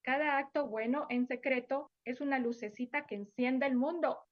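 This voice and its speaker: A woman voicing a gentle divine voice in a child's dream, speaking calmly and softly. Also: sits high, moderate pace, muffled recording. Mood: reassuring.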